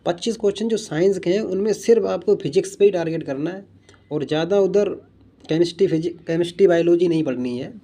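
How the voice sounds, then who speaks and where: A man's voice: high voice, quick pace, dark tone. A young man giving informal exam strategy advice as a coaching instructor, earnest and repetitive in the way he addresses his listeners.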